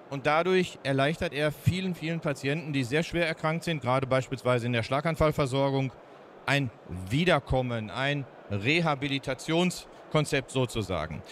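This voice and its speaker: A man in his fifties with a Ruhr German accent, pitching his startup's product at a trade fair booth into a handheld mic, folksy and lively with many fillers. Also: slight background noise. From Ruhr area, Germany. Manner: lively, folksy, fillers, speeds up when proud. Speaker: startup founder presenting.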